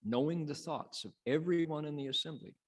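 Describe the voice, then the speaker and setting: A man reciting and teaching Buddhist scripture as a monk, in a measured, dramatic storytelling manner with pauses. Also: fairly high pitch. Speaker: Buddhist monk, sutra lecturer. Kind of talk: sutra recitation and dharma lecture.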